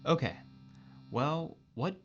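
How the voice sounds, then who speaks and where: A man's voice: a little high, expressive, slow, highs cut off, soft background music. A man playing a loyal, wry spaceship crewman and tinkerer in an audio drama, talking casually. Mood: concerned, curious.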